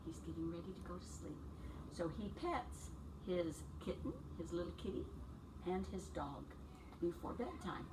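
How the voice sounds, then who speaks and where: A woman's voice: lowish voice, expressive, normal speed. A woman teacher doing a read-aloud for young children, talking to them in simple, encouraging phrases and questions. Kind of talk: read-aloud story time and instructions to small children. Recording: distant mic with clear background noise.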